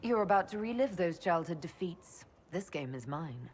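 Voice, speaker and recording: Woman playing a low-pitched, confident fantasy heroine. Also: lively intonation, normal speed, slight background noise, lightly acted. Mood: teasing, confident. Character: confident, teasing female leader of a fantasy Inquisition. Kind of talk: fantasy game cutscene dialogue. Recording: game audio with clear background ambience.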